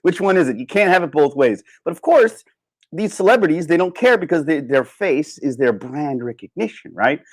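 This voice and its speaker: A man giving a casual, opinionated livestream rant, sardonic and emphatic, with halting starts and repeated phrases. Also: fairly high pitch, expressive. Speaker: livestream host.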